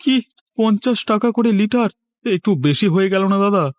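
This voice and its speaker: A man's voice: high-pitched, moderate pace, close to an everyday voice. A man voicing a skeptical, bargain-hunting market customer in a cartoon, with a dismissive, haggling manner. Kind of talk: cartoon voice acting.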